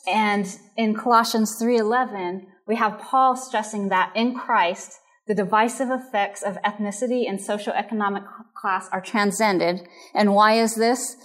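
A woman delivers a recorded teaching on counseling in an earnest, measured way. She explains each point step by step, at a middle pitch for a woman. Her background is Japanese and American. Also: fairly bright tone. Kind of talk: recorded lecture.

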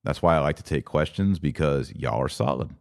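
Low-voiced man, emphatic podcast host. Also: gravelly. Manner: emphatic, didactic, conversational, with deliberate pauses. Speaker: podcast host and religious commentator. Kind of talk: live podcast commentary, answering audience comments.

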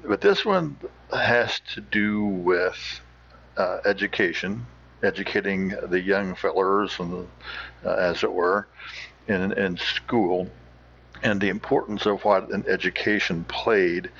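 An American man giving informal explanatory commentary as a host, halting as he searches for words. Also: low-pitched, fairly bright tone, husky. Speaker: host of an old-time radio show. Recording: slightly dull, lo-fi.

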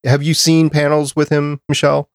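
A man's voice: a little high, completely flat delivery, normal speed, no echo, studio quality. A man hosting a podcast in casual, conversational panel chat. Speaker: podcast host.

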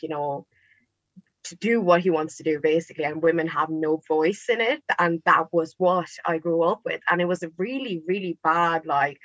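A woman's voice: on the low side, fairly bright tone, no echo. Chatty young woman, lo-fi mic. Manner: chatty, many ums and likes, laughs easily. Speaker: podcast guest. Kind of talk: casual live podcast chat.

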